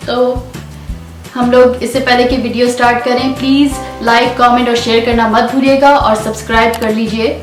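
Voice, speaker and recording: Very fast-talking woman in an echoey room. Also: on the high side, flat delivery. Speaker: video co-host. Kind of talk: casual chat addressed to viewers, switching languages. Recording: echoey room, distant mic, faint music.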